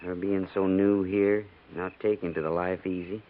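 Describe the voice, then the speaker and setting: An actor playing a kindly, deferential cavalry trooper in a 1950s radio western, with earnest, folksy talk. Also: male voice, low-pitched, level tone, normal speed, narrow, tinny audio, lightly acted. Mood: concerned. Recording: scratchy, phone-thin 1950s radio recording.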